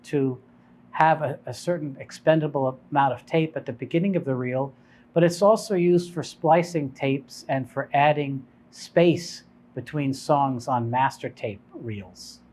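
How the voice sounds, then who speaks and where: A man's voice: on the high side, darkish tone. A man speaking as a shop owner, explaining and advising in an informal, unscripted, conversational way. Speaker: shop owner presenting product advice.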